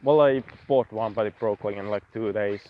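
A man's voice: on the low side, normal speed, highs cut off, a little hiss or hum. A young man from Estonia vlogging casually outdoors at night and from his car, rambling and self-deprecating, swearing now and then. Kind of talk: casual vlog chat.

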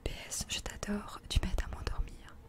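A woman, reading questions haltingly in a breathy ASMR whisper close to the microphone. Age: 20s. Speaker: co-host reading out viewer questions. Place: small attic room indoors. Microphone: desk condenser microphone, close.

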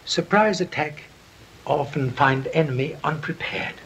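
An actor playing a calm, polite older male detective who speaks in terse, clipped sayings in a 1930s film. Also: on the high side, a little slow, gravelly, a little hiss or hum.

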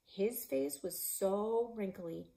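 Brief: expressive woman narrator for a middle-grade read-aloud, pitch that swings widely, recorded at home by a fireplace. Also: slow pace. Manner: lively, voicing characters with shouts and whispers. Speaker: librarian and author reading her novel aloud. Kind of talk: children's book read-aloud. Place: living room in front of a stone fireplace.

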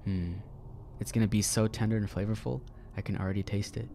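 A man reading as a breathy, sultry TV cook. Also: steady tone, a little fast, mellow, slight background noise. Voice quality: breathy, low, husky. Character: seductive, unhinged woman cooking show hostess.

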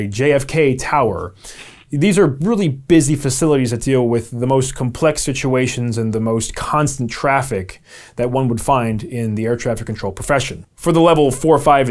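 A man's voice: medium pitch, a little mellow. American male presenter, 30s, mid-range voice, informal explanatory vlog delivery. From Albany, New York, USA. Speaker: video presenter. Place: small home office, indoors.

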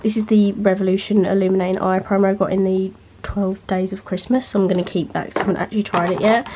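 A woman's voice: medium pitch, steady tone, quick pace, background noise. Nasal young woman vlogging from her bedroom. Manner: rambling, filler-heavy, chatty. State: nasal, recovering from illness. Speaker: beauty vlogger. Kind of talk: casual chat. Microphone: handheld camera, freehand.

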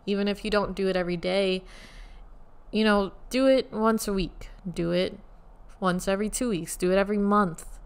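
Soothing, smooth-voiced woman podcaster. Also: medium pitch, normal speed. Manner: gentle, talks listeners through a short guided meditation. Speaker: podcast host. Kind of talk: casual solo podcast talk. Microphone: USB studio microphone.

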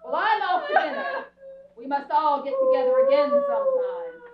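A woman playing a snide, spoiled, sharp-tongued young society daughter in a staged radio play. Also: very high voice, moderate pace, heavy background noise. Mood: indignant. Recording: echoey stage, far mic, muffled.

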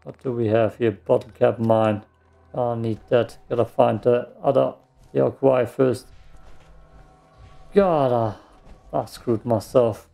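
A man's voice: medium pitch, steady tone, takes it slowly, vocal fry, pauses often, mellow. A man giving casual gameplay commentary, muttering to himself and given to swearing. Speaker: let's play streamer.

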